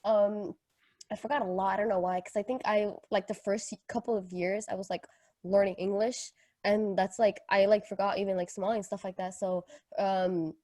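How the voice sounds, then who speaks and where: Young female podcast co-host, casual and jokey storyteller, slangy, mid-pitched, dry recording. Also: steady tone, moderate pace, dark tone, dry recording. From Kenya. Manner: informal slangy talk, jokey. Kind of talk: casual chat, personal storytelling.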